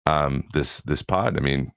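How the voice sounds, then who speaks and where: Low-voiced laid-back male podcast host. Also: measured pace, creaky, fairly bright tone, clear, resonant tone, no room sound, clean studio sound. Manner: easygoing, rambling with restarts. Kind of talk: casual podcast chat. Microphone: close studio microphone.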